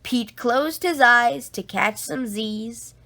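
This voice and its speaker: A woman reading a children's bedtime story aloud in an expressive, drawn-out way for young listeners. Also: fairly high pitch.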